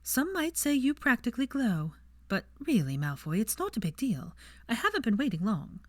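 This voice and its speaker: A woman's voice: fairly high pitch, very animated, moderate pace, no room sound, clean studio sound, voice pushed up. A woman voicing an earnest, bookish young witch in an audiobook, with an airy, polite manner. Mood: teasing. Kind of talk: audiobook character voice.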